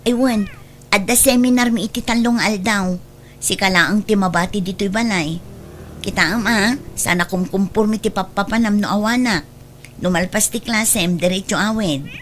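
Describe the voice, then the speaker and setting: A woman's voice: mid-range voice, normal speed, slight background noise, close to an everyday voice. A woman with an Ilocano accent acting a stern, responsible aunt in an audio drama, firm and admonishing. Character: stern, responsible aunt and daughter, advising family.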